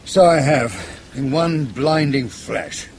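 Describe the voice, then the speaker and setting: An actor playing a suave, ruthless British intelligence chief in a radio drama, urbane and dryly ironic. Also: male voice, mid-range voice, takes it slowly, noisy background. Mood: smug, urbane.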